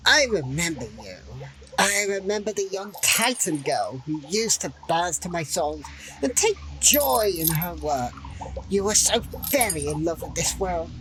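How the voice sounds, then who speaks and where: Wise defiant prisoner in fantasy radio play, slow measured lowish voice, gently scolding, noisy ambience behind. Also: female voice, expressive. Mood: sorrowful, reproachful. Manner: measured, sighing, pleading, wryly defiant. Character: defiant captive holy woman, wise and gently reproving. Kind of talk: fantasy audio drama acting.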